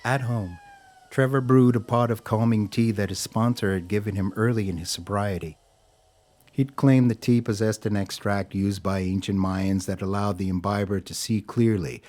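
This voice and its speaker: A man's voice: sits low, darkish tone. A man narrating a scripted audio drama as a dramatic storyteller. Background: music.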